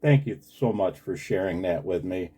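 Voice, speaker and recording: Low, mellow American man in his sixties. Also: animated, moderate pace, husky. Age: 60s. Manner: earnest and deferential, often saying sir. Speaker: amateur radio operator. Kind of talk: casual two-way radio conversation.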